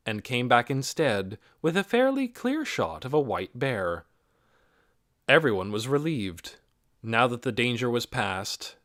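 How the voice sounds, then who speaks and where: Man, audiobook narration, mid-pitched, animated intonation, expressive and measured, dry recording. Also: dry recording. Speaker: audiobook reader. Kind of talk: scripted storybook narration.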